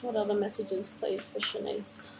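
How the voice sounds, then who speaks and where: Gentle woman giving a tarot reading. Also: mid-range voice, flat delivery, moderate pace, vocal fry, music in the background, poor audio. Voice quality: gentle, soothing, calls listener honey. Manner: meandering, many fillers and restarts. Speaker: tarot card reader. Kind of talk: live-streamed card reading to a viewer.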